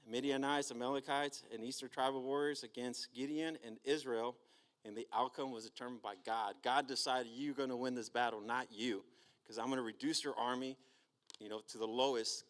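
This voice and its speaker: A man's voice: mid-range voice, fairly even intonation, moderate pace. A man giving an informal talk on a Bible story in church, casual and checking in with his listeners often. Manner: casual, checks in with "right?" and "okay?". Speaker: church speaker.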